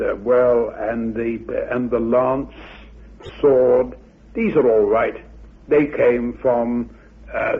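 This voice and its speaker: A man explaining myth and history in a deliberate, halting, scholarly way on a muffled old radio recording. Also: medium pitch, vocal fry. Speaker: researcher expounding theories about Atlantis.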